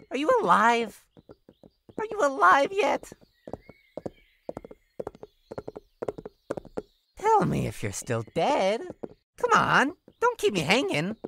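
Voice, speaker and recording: A mischievous clown creature from a horror game lurches between singsong teasing and low, creaky menace. The pitch swings wildly as it toys with the listener in theatrical, taunting fashion. There is clear noise on the recording. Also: female voice, fairly bright tone, heavily acted. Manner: theatrical, teasing singsong with drawn-out words and sudden drops. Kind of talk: visual novel horror game character acting.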